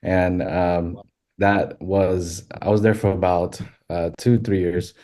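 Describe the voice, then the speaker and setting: A man from Thailand, a podcast guest, speaking haltingly with many fillers and restarts in a casual interview. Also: low-pitched, little pitch movement, dark tone. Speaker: podcast guest, western filmmaker.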